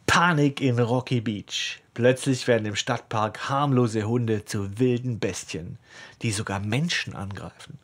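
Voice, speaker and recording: Male podcast co-host, medium pitch, casual discussion style. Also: a little slow. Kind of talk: casual podcast discussion with some reading aloud.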